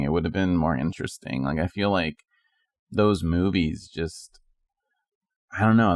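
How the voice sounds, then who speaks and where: Deep-voiced guy cohosting a podcast, just chatting informally, dry close recording. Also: no echo. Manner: informal, conversational. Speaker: podcast co-host. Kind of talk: casual chat about movies.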